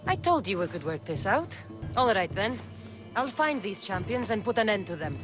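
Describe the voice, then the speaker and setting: A woman's voice: mid-range voice, very animated, normal speed, lightly acted. Woman playing a blunt, defiant mercenary, music behind. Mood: dry, resolute. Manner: blunt, dry, self-assured. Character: hardened, defiant young female mercenary of ancient Greece. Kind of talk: cinematic video game dialogue. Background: game soundtrack music.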